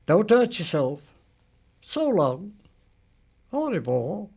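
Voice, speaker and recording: A man's voice: sits high, very animated, slow, darkish tone, breathy edge, heavily acted. An elderly man voicing a cheeky, talkative young Cockney soldier in a recited war poem. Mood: cocky, teasing. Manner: cocky, chatty, showing off bits of French.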